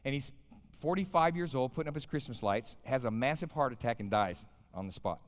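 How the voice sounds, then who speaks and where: A man's voice: mid-range voice, animated, fairly quick. A man in his fifties with an American accent, preaching informally in a folksy, colloquial way, telling stories and putting questions to his listeners. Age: 50s. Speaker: pastor, guest chapel speaker. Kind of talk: informal chapel sermon with storytelling. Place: large indoor chapel, speaking to an audience. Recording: live hall with long reverb.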